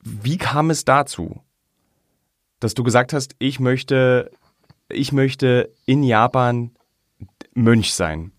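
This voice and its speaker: A man hosts a podcast interview and poses long, rambling questions that often restart midway. His voice sits at an ordinary middle pitch. The sound is dry and close, with no room around it. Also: slow, pauses often, dry recording. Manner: long winding questions, restarting mid-sentence. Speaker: podcast host. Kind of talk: interview conversation.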